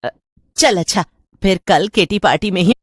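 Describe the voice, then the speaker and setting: A woman voicing a nosy, excitable housewife who chats and pries in a cartoon story. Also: fairly low pitch, moderate pace, no room sound, studio quality, lightly acted. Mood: gossipy. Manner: eager, prying, chatty.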